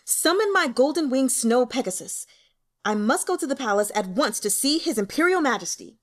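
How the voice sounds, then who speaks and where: Woman acting a bellowing, irascible young nobleman, high-pitched. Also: animated, normal speed. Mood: urgent, commanding. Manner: bellowing, imperious. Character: irascible, haughty young nobleman, raging and snapping. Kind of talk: audiobook character acting.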